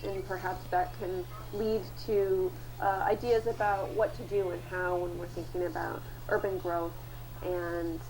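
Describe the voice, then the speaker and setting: A woman's voice: lowish voice, level tone, unhurried, a little mellow, a little hiss or hum, rough recording. A woman giving unscripted interview answers for a news report.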